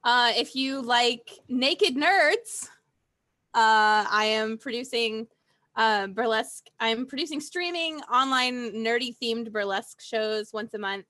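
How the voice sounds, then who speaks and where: Female show producer, fairly high, bright forceful voice, informal plug. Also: slow, dry recording, clean studio sound. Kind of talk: casual promotional chat.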